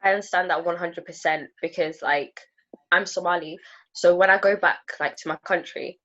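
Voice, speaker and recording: A woman's voice: on the low side, fairly even intonation, moderate pace, a bit bright. A young woman from East London with a London accent, chatting casually in a group discussion over a dull-sounding video call.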